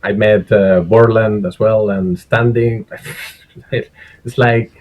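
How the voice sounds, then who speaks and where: Spanish man, relaxed and dryly joking. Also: lowish voice, slow pace, highs cut off. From Spain. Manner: relaxed, dry humor, laughs between points. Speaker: guest engineer. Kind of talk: casual interview chat.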